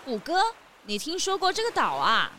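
Woman as high-voiced eager traveler. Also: wildly swooping pitch, normal speed, pushed and bright, a little hiss or hum, heavily acted. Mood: curious. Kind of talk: children's audio detective drama acting. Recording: music behind the voice.

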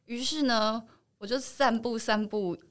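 A woman's voice: a little high, steady tone, a little slow. A woman with a Taiwanese Mandarin accent, an actress guesting on a show and telling anecdotes in casual chat.

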